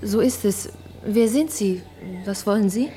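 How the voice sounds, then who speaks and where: An actress playing a flustered female maths teacher in a radio drama, stammering and shrill. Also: unhurried, warm tone, slight background noise, natural voice.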